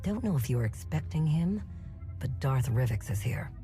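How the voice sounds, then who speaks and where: Wry female military aide, very deep for a woman, casual, game cutscene, loud music behind. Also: sing-song, moderate pace. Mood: wry, informal. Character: informal, wry female Imperial aide reporting to her commander. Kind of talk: video game cutscene acting.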